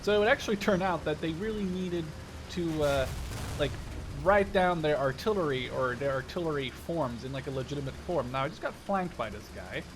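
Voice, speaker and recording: A man's voice: on the high side, expressive, a little fast, over music. A man in his twenties from the Netherlands giving casual, rambling gameplay commentary with digressive storytelling. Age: 20s. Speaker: gaming video host.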